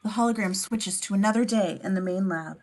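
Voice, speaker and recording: A woman's voice: mid-range voice, fairly quick, a little mellow, poor audio. A woman narrating as scene description reader, reading screenplay stage directions aloud at a table read.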